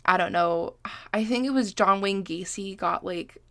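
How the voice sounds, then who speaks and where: A woman's voice: mid-range voice, unhurried, pushed and bright, no room sound. A woman in her twenties from Massachusetts, congested and sniffly, hosting a casual podcast in a chatty, self-deprecating way and swearing freely. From Massachusetts, USA. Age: 20s. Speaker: podcast host. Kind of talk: casual solo podcast chat.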